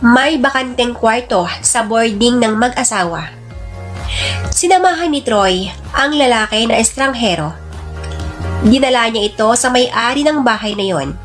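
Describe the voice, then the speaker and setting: A woman narrates a scripted drama in classic radio storytelling style. Her voice sits fairly high, and music plays softly behind her. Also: lively intonation, unhurried. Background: background music.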